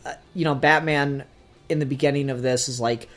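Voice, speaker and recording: A man with a medium-pitched voice hosts a casual review discussion. He explains his thoughts earnestly and with enthusiasm, in a conversational podcast style. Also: steady tone, a little fast, a bit bright. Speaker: podcast host.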